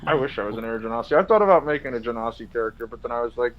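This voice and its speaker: A young man in his twenties leads a loose, joking chat with his tabletop group. His voice sits at an ordinary middle pitch, and he trades quick banter with his friends. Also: lively intonation, lo-fi sound. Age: 20s. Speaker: dungeon master. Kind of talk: casual chat before a tabletop game stream.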